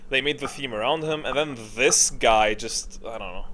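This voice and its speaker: Male gaming creator, medium pitch, casual commentary style, rambling and opinionated with emphatic slang. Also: moderate pace, bright, forceful tone, slight background noise.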